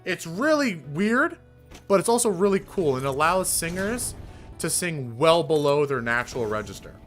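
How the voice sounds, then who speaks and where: A young man streamer chatting casually, rambling with fillers and casual swearing, with a fairly deep voice. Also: sing-song, moderate pace, fairly bright tone, faint music behind. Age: young adult. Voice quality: somewhat deep voice. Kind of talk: casual live-stream chat.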